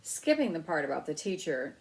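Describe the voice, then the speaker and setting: A woman reading a children's book aloud as storyteller, in an expressive narrating style. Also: low-pitched, brisk, vocal fry, rough recording.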